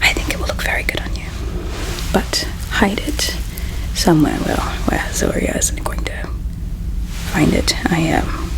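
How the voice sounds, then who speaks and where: A woman voicing a gentle, melancholy alien palace servant in an ASMR roleplay, speaking in a hushed, halting, confiding way. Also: low-pitched, creaky, rough recording. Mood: wistful, confiding. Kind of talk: ASMR roleplay, soft whispering.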